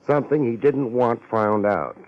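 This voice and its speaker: A man's voice: mid-range voice, normal speed, natural voice. A man playing a cocky, hard-boiled private detective with slangy, sardonic delivery in a 1950s radio drama. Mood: assured, decisive. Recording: dull old radio recording.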